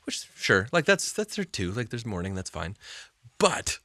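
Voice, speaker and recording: A man co-hosting a casual faith conversation, animated, laughing often and saying yeah a lot. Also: fairly low pitch, moderate pace, dry recording, studio quality. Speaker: co-host of church midweek chat.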